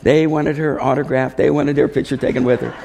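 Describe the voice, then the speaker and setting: A man with a gravelly, mellow voice tells missionary stories as a testimony. He is animated in how he relays who said what. The voice rings through a reverberant hall. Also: medium pitch, fairly quick. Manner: animated, acts out dialogue. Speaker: missionary speaker. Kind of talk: testimony storytelling to a congregation.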